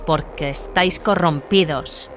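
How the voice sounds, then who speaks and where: A man's voice: fairly high pitch, measured pace, music in the background, voice pushed up, lightly acted. A man voicing a solemn, resolute woman warrior of a religious order, speaking firmly in a dramatized sci-fi audiobook. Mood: stern, condemning. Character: grave, resolute female warrior nun.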